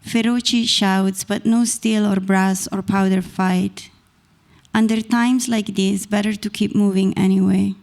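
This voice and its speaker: A woman in her thirties from Italy with an Italian accent, reading her poetry slowly and deliberately into a stand mic on a small stage. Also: medium pitch, steady tone, darkish tone. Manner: measured, deliberate reading from a page. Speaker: poet reading her work. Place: small stage in a dark performance venue. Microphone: stand mic close to the mouth.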